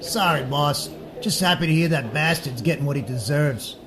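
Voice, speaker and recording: An actor playing a casual, deferential 1940s police subordinate in an audio drama. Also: male voice, fairly high pitch, normal speed, crowd noise, close to an everyday voice. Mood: chatty. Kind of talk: naturalistic audio drama acting.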